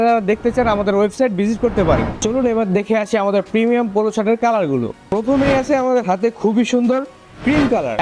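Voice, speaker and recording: Male product presenter, Bangladeshi accent, high register, very quick scripted sales read over clear background music. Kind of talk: scripted promotional product showcase.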